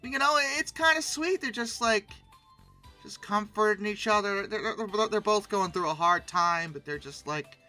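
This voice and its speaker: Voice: mid-range voice, normal speed, sharp tone, soft background music. A commentator giving casual, humorous commentary in a sarcastic, theatrical way. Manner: sarcastic, theatrical, breaking into mock outbursts. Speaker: YouTube host reviewing old dinosaur art.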